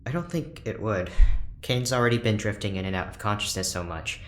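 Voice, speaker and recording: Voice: deep voice, brisk, lightly acted. An actor playing a reserved, awkward ship's doctor in a sci-fi audio drama, speaking hesitantly and with stiff formality. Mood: weary, worried. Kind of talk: audio drama acting, naturalistic dialogue. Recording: roomy echo, faint background noise.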